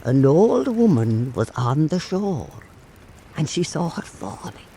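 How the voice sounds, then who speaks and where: A man telling a folk tale as scripted narration, in a measured and dramatic manner. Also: a little high, very animated, mellow, a little hiss or hum, poor audio. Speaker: storyteller.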